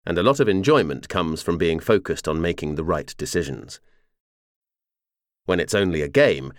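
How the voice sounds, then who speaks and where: A man reads a first-person memoir as a scripted audiobook narration, in a measured, clearly articulated way. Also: sits low, no room sound, clean studio sound.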